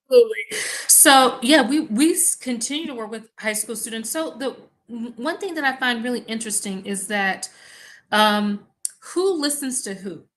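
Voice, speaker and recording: Bright, high-voiced woman researcher. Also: moderate pace. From Mississippi, USA. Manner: animated storyteller, acts out exchanges. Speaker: academic researcher. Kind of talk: conversational panel discussion.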